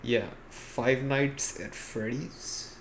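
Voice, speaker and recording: A man's voice: mid-range voice, steady tone, drawn-out words, a loud crowd, poor audio. A man in casual, informal podcast conversation, freely giving his opinions as a co-host. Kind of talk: casual chat about films and games.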